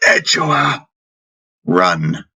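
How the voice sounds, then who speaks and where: A man's voice: low-pitched, big pitch swings, extremely slow, creaky, pushed and bright, slightly rough, exaggerated voice. A man voicing a fierce warrior husband in a fantasy audiobook, with desperate, gasping cries to protect his wife. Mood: desperate urging. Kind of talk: dramatic fantasy audiobook acting.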